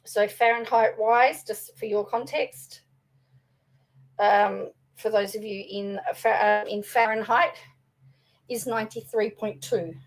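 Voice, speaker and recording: A young woman, a little raspy from singing, chatting casually to her channel with fillers and restarts. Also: medium pitch, fairly even intonation, slow, poor audio. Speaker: vlogger and law student. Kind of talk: casual commentary to camera.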